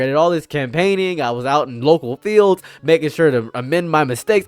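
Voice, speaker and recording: A man's voice: a little high, clear, resonant tone, dry recording. An American man of around thirty giving casual, impassioned political commentary in an emphatic, conversational way, often repeating himself and checking in with the listener. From United States (Detroit and Toledo). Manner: emphatic, conversational, repeats phrases and tags them with "right?".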